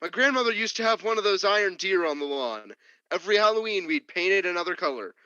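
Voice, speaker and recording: A man's voice: sits high, animated, fast, sharp tone, clean tone, dry recording. An actor playing a homesick, chatty American crewman in a science fiction radio play, with a folksy, casual delivery. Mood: nostalgic. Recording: dull, lo-fi dry recording.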